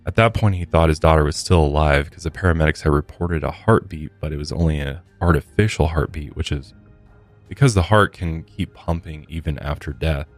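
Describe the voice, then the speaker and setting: A man hosting a podcast, telling a true crime story conversationally in a measured, matter-of-fact way with casual asides. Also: a bass voice, faint music behind. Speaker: podcast host.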